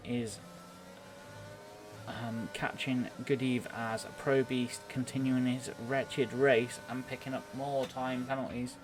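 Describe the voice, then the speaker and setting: Even-toned man commentating on sim racing. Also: medium pitch. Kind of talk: live play-by-play commentary of a racing game.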